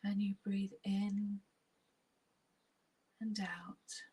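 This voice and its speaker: A woman with a soft, breathy, near-whispering husky voice, leading a guided relaxation meditation in a soothing, unhurried way with long pauses. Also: medium pitch, flat delivery.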